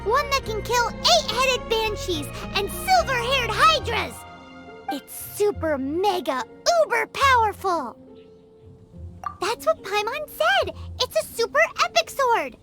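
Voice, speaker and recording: A woman voicing a tiny, eager, childlike fairy companion in a video game, squeaky and exclamatory. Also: sing-song, slow, full character voice. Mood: boastful. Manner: exclamatory, speaks of herself in third person. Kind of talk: animated video game voice acting. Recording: clean voice over loud game music.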